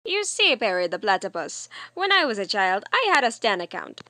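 A woman's voice: mid-range voice, big pitch swings, normal speed, pushed and bright, no echo, studio quality. A woman voicing a boastful, scheming cartoon villain in an amateur comic dub, grandiose and declaiming. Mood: gloating.

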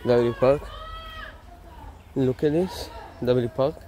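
A man's voice: medium pitch, extremely slow, warm tone, a little hiss or hum, poor audio. A man with an Israeli accent vlogging casually while walking outdoors, rambling and slangy, filming on a phone. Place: outdoors on city streets.

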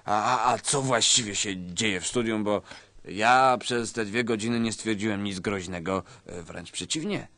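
A man's voice: fairly low pitch, moderate pace, fairly bright tone, dry recording. A man playing a naive, polite police lieutenant in a satirical sketch, earnest, puzzled and hesitant. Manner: earnest, hesitant, well-mannered. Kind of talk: satirical radio sketch acting.

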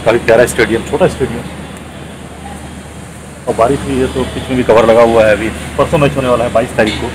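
A man's voice: medium pitch, steady tone, quick pace, pauses often. A middle-aged man hosting a travel vlog, touring a stadium with enthusiasm and repeating his praise often. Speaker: travel vlogger. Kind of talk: casual guided tour vlog. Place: outdoor cricket stadium at night. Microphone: phone camera at arm's length. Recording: outdoor noise on phone.

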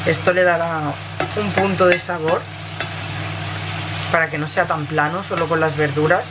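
Hoarse, low-voiced Spanish woman over sizzling. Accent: Spain Spanish. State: hoarse from a cold. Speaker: home cooking vlogger. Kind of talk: casual recipe narration over cooking. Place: home kitchen, indoors. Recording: noisy kitchen with sizzling pan. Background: frying and stirring sounds.

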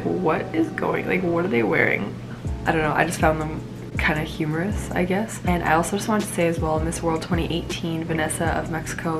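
A young woman with a stuffed-up cold, reviewing a pageant in a bubbly, rambling, casual vlog to camera from a small room. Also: fairly low pitch. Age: young adult. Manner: bubbly, frequent "like", rambling asides. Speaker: pageant vlogger. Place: small indoor room at home.

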